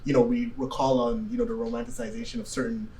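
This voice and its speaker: A man's voice: medium pitch, very animated, quick pace, vocal fry, dark tone. A man in his twenties giving his opinion as a talk show guest, unscripted, hedging and often checking in with a tag question. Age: 20s. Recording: distant mic, faint background noise.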